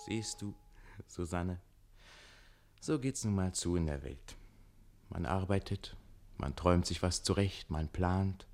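An actor playing a quick-witted, defiant servant in a radio comedy, declaiming theatrically and splitting hairs with passion. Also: male voice, low-pitched, a little mellow, slight background noise. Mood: reflective, resigned. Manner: declamatory, hair-splitting, impassioned. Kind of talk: German stage comedy radio play acting.

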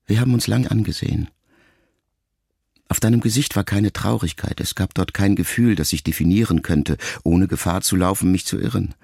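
A man in a radio production reading a letter aloud as literary narration, quiet and reflective, with phrase endings that trail off softly. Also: deep voice, normal speed, gravelly, slightly rough, no room sound, studio quality.

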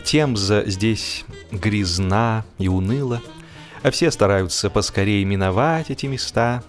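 A man's voice: fairly low pitch, a little slow, soft background music. A man reading a humorous story as scripted audiobook narration, expressively, drawing out the ends of his phrases.

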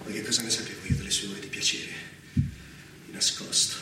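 A man's voice: normal speed, lightly acted. Jealous foreign general, male actor, bitter and brooding; distant mic, heavy background noise. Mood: tormented. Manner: brooding, bitter dramatic monologues. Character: jealous, tormented foreign general and husband, past youth. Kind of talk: Italian stage-style radio drama. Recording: roomy, noisy, distant-miked radio broadcast.

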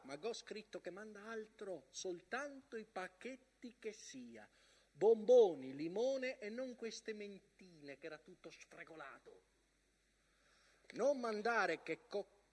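High-voiced, weary soldier. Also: male voice, full character voice. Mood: insistent. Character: weary, bitter First World War soldier writing home and remembering. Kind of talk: theatrical monologue with dramatic narration.

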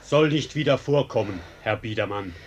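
An actor in a German radio play voicing a smooth-talking intruder who is brazenly polite and mock-reasonable. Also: male voice, lowish voice, animated, moderate pace. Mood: mock-apologetic.

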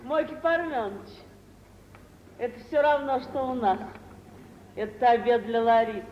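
Middle-aged society mother played by a woman: high voice, coaxing, scheming, echoey vintage radio drama. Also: moderate pace, slight background noise, natural voice. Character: practical, scheming mother marrying off her daughter. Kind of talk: 1950s radio theatre acting. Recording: muffled old radio recording, echoey hall.

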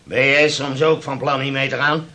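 An actor playing an older, grey-haired shipowner in a radio play, pompous, scolding and used to command. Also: male voice, mid-range voice, flat delivery, normal speed, pushed and bright, a little hiss or hum, natural voice. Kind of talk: theatrical radio play acting. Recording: older radio drama recording.

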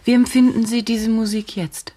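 An actress playing an intense, probing female journalist in a radio drama, speaking in deliberate, clipped, analytical phrases. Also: a little high, level tone, warm tone, lightly acted. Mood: probing, reserved. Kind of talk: German radio drama acting.

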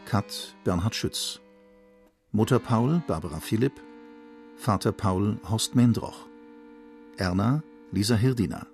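Low-voiced man making a formal radio announcement. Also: slow, long pauses, a little mellow, faint music behind. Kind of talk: scripted announcement of title and cast.

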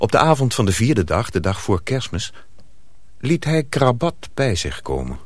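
A man's voice: medium pitch, very animated, moderate pace. A man narrating a radio drama from a script.

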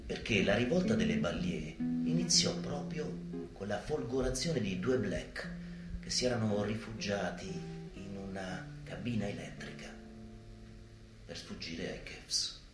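A man performing a satirical stage monologue in an ironic, emphatic manner with dramatic pauses. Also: on the low side, lively intonation, roomy sound. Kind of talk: theatrical satirical monologue in a live show. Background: live guitar music.

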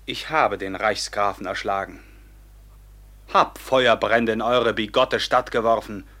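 An actor playing a proud, defiant robber captain in a classical radio drama, declaiming in a mocking, commanding manner. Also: male voice, medium pitch, a bit bright. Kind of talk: classical stage-style radio drama acting.

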